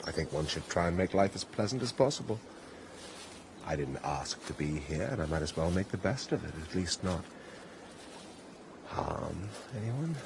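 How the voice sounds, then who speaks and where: A man's voice: low voice, lively intonation, normal speed, gravelly, long pauses, noisy background. A man with a British accent playing a disillusioned, cynical prince in a radio drama, well-spoken, weary and clipped in manner. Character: disillusioned, cynical Russian prince, a brooding landowner.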